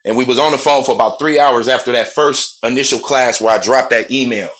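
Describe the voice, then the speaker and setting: A man with a strong, loud voice, speaking passionately on a group phone call. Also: medium pitch, fairly quick. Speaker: webinar participant. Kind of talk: casual group call talk.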